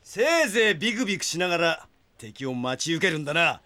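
A man's voice: on the high side, big pitch swings, fairly quick, sharp tone. An actor playing a proud, hot-headed young man bent on revenge in an audio drama, brash and defiant. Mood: defiant, scornful. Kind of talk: Japanese anime-style audio drama acting.

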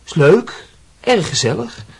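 Prickly young student, very husky, higher-pitched. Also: male voice, big pitch swings, slow pace, exaggerated voice, poor audio. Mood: bitter, guarded. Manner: sarcastic, curt. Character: prickly, sarcastic young male student, guarded and unhappy. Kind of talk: Dutch radio play acting.

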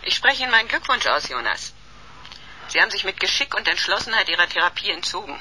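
A woman's voice: medium pitch, big pitch swings, fast, background noise, lightly acted. An actress playing a cold, condescending female professor, the scheming villain of a radio sci-fi drama, speaking formally. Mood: coolly persuasive. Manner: formal, condescending, coolly clinical. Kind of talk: 1980s German radio sci-fi crime drama acting.

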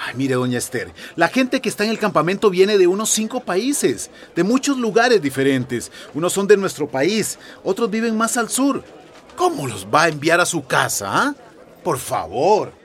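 A man's voice: high-pitched, sing-song, normal speed. A man playing a polite, reasonable town mayor in a radio drama, explaining himself formally. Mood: exasperated. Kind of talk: Spanish-language radio drama acting.

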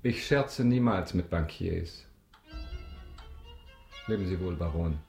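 Earnest commanding count, really low voice, intense and solemn, radio drama. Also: male voice, takes it slowly, creaky, darkish tone, soft background music, exaggerated voice. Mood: cool. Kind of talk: German radio drama acting.

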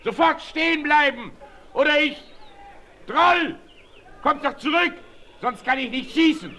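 An actor playing a towering frontiersman hero, commanding and gruffly good-natured, in a 1960s German western radio play. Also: extremely high pitch, measured pace, sharp tone, exaggerated voice. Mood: shouting, urgent. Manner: commanding, emphatic. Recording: 1968 vinyl record, faint noise.

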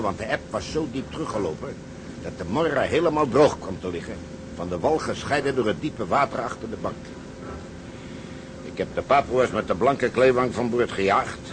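A man's voice: lowish voice, noisy background, close to an everyday voice. An actor playing a hard, boastful, unrepentant seaman in a maritime drama, talking matter-of-factly. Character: hard, callous male seaman, unrepentant adventurer. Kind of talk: dramatic seafaring acting.

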